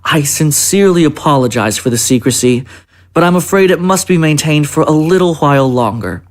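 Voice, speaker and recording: A man playing a polite, secretive presidential chief of staff in an audio drama, with formal, measured speech. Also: on the high side, animated, natural voice. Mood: courteous, guarded.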